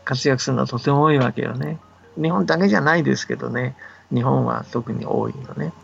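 An older man, a folklore researcher, talking in a relaxed, folksy, explanatory way with a gravelly, husky voice. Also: mid-range voice, brisk, highs cut off, soft background music. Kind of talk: relaxed explanatory radio talk.

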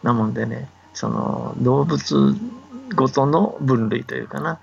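Older guy, really gravelly husky voice, chatting and explaining like a folksy researcher, slightly dull audio. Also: medium pitch, unhurried, a little mellow, soft background music. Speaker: folklore researcher and storyteller. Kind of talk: relaxed explanatory radio talk.

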